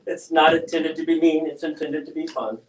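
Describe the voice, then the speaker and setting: A man's voice: on the high side, steady tone, fairly quick, slight background noise. Goofy man joking in an echoey room. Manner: goofy, jokey, self-deprecating. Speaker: co-host reading viewer comments. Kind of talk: playful banter. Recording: roomy sound from a distance.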